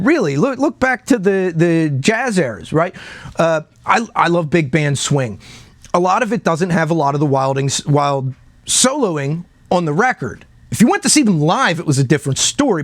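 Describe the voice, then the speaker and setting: A man with a slightly high voice talks casually and with opinion, like a music commentator chatting to a listener. He circles back to stress his points and often checks that you follow. The sound is close and dry. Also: no room sound. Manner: conversational, repeats himself for emphasis, checks in with listener. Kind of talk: casual opinionated talk about music history.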